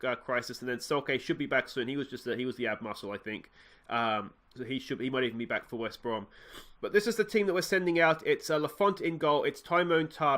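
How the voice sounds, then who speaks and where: Nasal Australian man commentating a game. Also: mid-range voice. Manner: rambling, self-correcting, excitable at goals. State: slightly nasal from a cold. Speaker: let's play host. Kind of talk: casual gameplay commentary.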